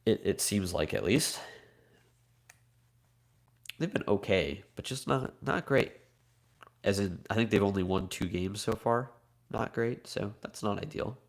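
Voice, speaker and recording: A man's voice: on the low side, expressive, measured pace, gravelly, long pauses. A man with a Midwestern American accent hosting a live card break, slightly congested from a cold, chatty and upbeat as he reads out names and teams.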